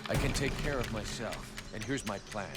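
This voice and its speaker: A man's voice: lowish voice, moderate pace, very noisy, natural voice. An actor playing a confident male mercenary in a fantasy game, casual, cocky and matter-of-fact. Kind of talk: video game cutscene dialogue. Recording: loud game music behind.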